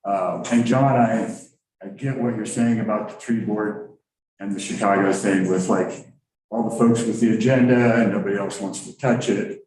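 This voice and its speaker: A man with a low voice makes a public comment at a board meeting, hesitant and rambling, with many ums. He is heard from far off. The room echoes heavily. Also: fairly even intonation, normal speed, darkish tone. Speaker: resident giving public comment. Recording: very echoey hall, distant mic.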